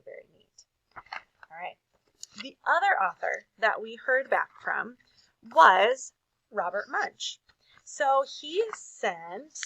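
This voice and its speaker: A woman reading aloud to children as a teacher, with expressive emphasis and casual asides. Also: medium pitch, husky, dull audio.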